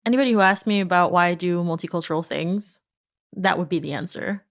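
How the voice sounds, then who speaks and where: A woman from New York whose first language is Spanish answers interview questions. She tells stories with animation and laughs often. Her voice sits slightly low and is recorded close and dry. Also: fast talker, no echo. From New York, United States. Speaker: podcast company founder, guest.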